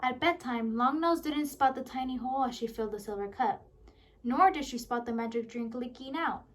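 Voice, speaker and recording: A woman reading a children's storybook aloud with lively, expressive narration. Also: sits high, moderate pace. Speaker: audiobook reader.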